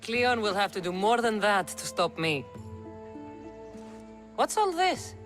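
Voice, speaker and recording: A woman's voice: medium pitch, big pitch swings, slow pace, fairly bright tone, soft background music. A woman playing a sardonic ancient Greek warrior in a video game, blunt and challenging. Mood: determined, teasing. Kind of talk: cinematic video game acting.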